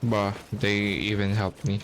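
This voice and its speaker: A man's voice: on the low side, level tone, unhurried, noisy background. A young man giving casual, off-the-cuff reaction commentary over a game, slangy and profane. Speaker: game streamer.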